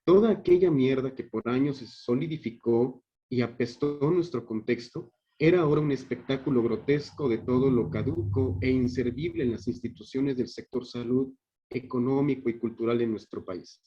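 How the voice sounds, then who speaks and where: A man's voice: mid-range voice, moderate pace, mellow. A man with a northern Mexican accent reading an academic paper aloud in a formal, literary manner, as a conference speaker. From Monterrey, Mexico. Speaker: conference speaker, theatre director. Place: video call. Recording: dull online call audio.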